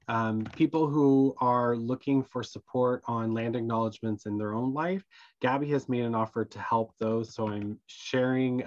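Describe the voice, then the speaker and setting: A man hosting an online town hall with prepared remarks, speaking over a video call. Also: mid-range voice. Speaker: meeting host. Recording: dull, dry online call audio.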